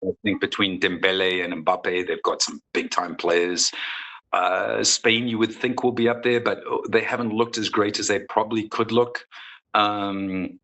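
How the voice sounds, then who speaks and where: Bright, low-pitched man. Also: steady tone, measured pace. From South Africa. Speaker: football coach, interview guest. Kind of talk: conversational sports interview.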